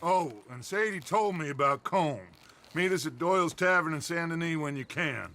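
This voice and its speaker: A domineering outlaw boss with a sharp, raised American voice. He is grandiose and sure of himself, persuading and giving orders in a video game scene. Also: on the high side, moderate pace, a little hiss or hum. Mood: confident, scheming. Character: commanding, persuasive male outlaw gang leader. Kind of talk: video game cutscene acting.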